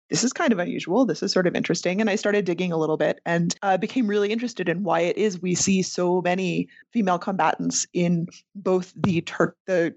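A woman's voice: fairly low pitch, creaky, dry recording, highs cut off. A woman political scientist answering interview questions in a candid, conversational way. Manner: candid, conversational, self-deprecating.

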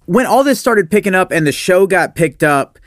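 A man's voice: sits high, animated, normal speed, no echo, clean studio sound. A man hosting a casual interview in an agreeable, conversational way. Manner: agreeable, frequent short affirmations. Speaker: podcast host, interviewer.

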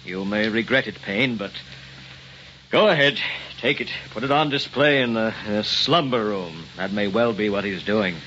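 A man's voice: on the low side, moderate pace, sharp tone. An actor playing a commanding, impatient male scientist in old-time radio drama, with a theatrical delivery. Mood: dismissive. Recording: dull, noisy old radio recording.

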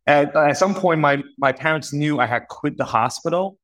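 A man's voice: a little high, moderate pace, fairly bright tone. A man in his thirties from northeast China, talking earnestly and reflectively in a casual interview, often repeating words as he restarts. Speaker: guest, company founder.

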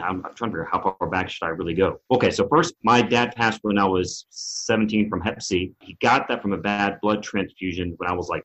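A man in his thirties from Arkansas, telling his story as a podcast guest in a rambling, easygoing way full of fillers. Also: lowish voice. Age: 30s. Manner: rambling, laid-back, with many "kind of" and "like" fillers. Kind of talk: casual storytelling interview. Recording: dull, lo-fi remote audio.

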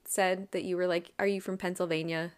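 Podcast co-host, female, lower voice, relaxed and chatty while telling a true crime case. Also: a little fast, smooth. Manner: chatty, laughs often. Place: Ohio.

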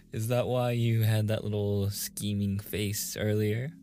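A man's voice: lowish voice, warm tone. A man playing a playful, easily flustered young gamer boyfriend in a casual, intimate ASMR roleplay. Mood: amused, unworried. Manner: casual, intimate, with chuckles and sighs.

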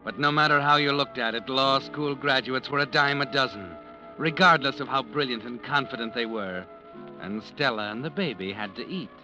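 An actor playing an ominous, knowing mystery narrator in 1940s radio style, insinuating and dramatic. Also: male voice, mid-range voice, normal speed, pushed and bright. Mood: wry storytelling. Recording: muffled old radio recording. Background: music.

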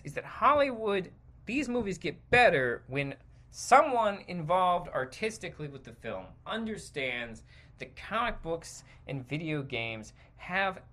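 A man's voice: mid-range voice, sharp tone. A man hosting a podcast, giving rambling, emphatic, opinionated commentary in an off-the-cuff way. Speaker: podcast host. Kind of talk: opinionated stream-of-consciousness commentary.

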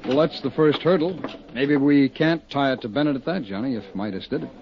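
A man's voice: medium pitch, fairly quick, natural voice. An actor playing a friendly, breezy male police officer in a 1950s radio drama. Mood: matter-of-fact. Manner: breezy and familiar. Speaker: police lieutenant. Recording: dull lo-fi old radio broadcast with faint hiss.

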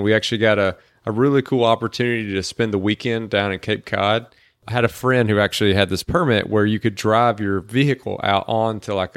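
A young man from the Boston area co-hosting a podcast, chatting in a relaxed, rambling way. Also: fairly low pitch, clean tone, no echo, clean studio sound. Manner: relaxed, rambling, lots of fillers like "like". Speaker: podcast co-host. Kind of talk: casual chat.

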